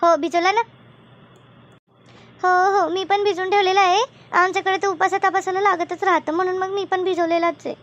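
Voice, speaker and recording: A woman voicing a gossipy, teasing village woman in a comedy cartoon, with an expressive, complaining way of talking. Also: very high voice, normal speed, lo-fi sound. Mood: chatty. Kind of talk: colloquial comedy cartoon dialogue.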